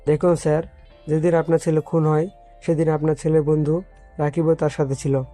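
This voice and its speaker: A man's voice: fairly high pitch, little pitch movement, a little fast, mellow, soft background music, lightly acted. A man playing a firm, no-nonsense police officer in a dramatized audio story. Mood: firm, reassuring.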